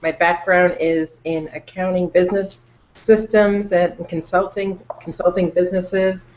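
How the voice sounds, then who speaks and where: A woman's voice: lowish voice, steady tone, a little slow, darkish tone. A woman from New York speaks in an upbeat, casual way on a video call. The audio is muffled and lo-fi. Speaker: panel guest.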